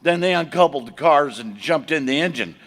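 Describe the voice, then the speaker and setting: Brief: a man doing a tough frontier father, folksy drawl, lively pitch, echoey theater stage. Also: brisk. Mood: plain.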